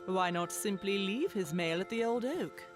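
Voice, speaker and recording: A woman narrator reading a scripted children's story in an expressive, measured storytelling manner. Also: mid-range voice. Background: music bed.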